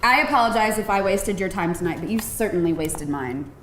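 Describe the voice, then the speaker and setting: A woman's voice: mid-range voice, animated, brisk, fairly bright tone, close to an everyday voice. A woman playing a cool, skeptical, scornful job candidate with a dry, pointed manner at a live table read. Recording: roomy live event, faint noise.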